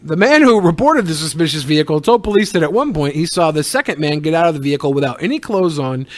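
A man's voice: on the high side, expressive, fast, no echo. A man hosting a podcast, casually reading news stories and riffing on them with irreverent, jokey swearing. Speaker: podcast host.

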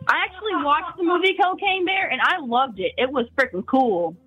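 A woman's voice: sits high, normal speed. A teenage woman calls in over a tinny, phone-like line with faint music behind. She talks earnestly and openly and giggles now and then. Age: teens. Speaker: caller. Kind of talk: casual phone call.

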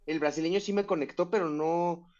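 Mexican man, slightly high, muffled line. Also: steady tone, a little mellow. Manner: thoughtful, halting, many restarts. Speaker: guest fighter. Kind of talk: interview answers.

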